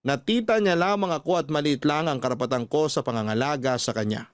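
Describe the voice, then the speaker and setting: A man's voice: fairly high pitch, brisk, soft background music. A man hosting a radio show, reading a listener's letter as a heartfelt, sentimental story. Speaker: radio host narrator.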